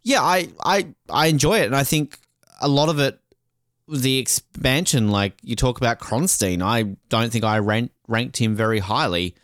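A man's voice: mid-range voice, normal speed, a bit bright, dry recording, studio quality. An Australian man chatting casually as a podcast co-host, in a jokey, rambling, sweary way.

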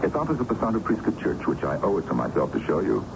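Colonel, middle-aged, domineering radio-drama role; rock-bottom pitch, very animated delivery, muffled old broadcast. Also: male voice, quick pace, gravelly, background noise, exaggerated voice. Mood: genial, bossy. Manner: overbearing, talkative, take-charge. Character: domineering middle-aged American colonel, genial yet menacing. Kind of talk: 1970s radio drama acting.

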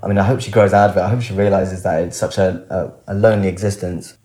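Guy guest w a low voice, candid story, kinda halting and wordy. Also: steady tone, dark tone. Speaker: interview guest.

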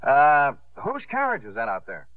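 A man's voice: mid-range voice, huge pitch swings, moderate pace. An actor playing a cocky, sarcastic carnival showman with slangy patter in a 1950s radio drama. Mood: mocking. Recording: muffled, roomy old radio broadcast.

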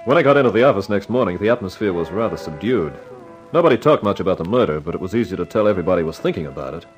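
A man's voice: low voice, lively intonation, rapid-fire, muffled recording, over music. An actor playing a wry, confident advertising man who narrates a 1950s radio crime drama, unflappable in manner. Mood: dry narration.

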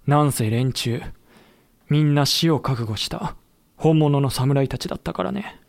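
An actor playing a samurai-era veteran who narrates a historical audio drama in a chatty, wry storytelling manner. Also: male voice, mid-range voice, a little slow, vocal fry, a little mellow, no room sound. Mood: wry, familiar. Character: colloquial samurai-era veteran recounting his comrades' lost war. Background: background music.

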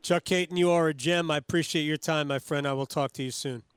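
A male sports radio host with a fairly high, very clean and smooth voice. He chats conversationally with his guest, close on a dry studio microphone. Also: fairly even intonation, fast, dry recording.

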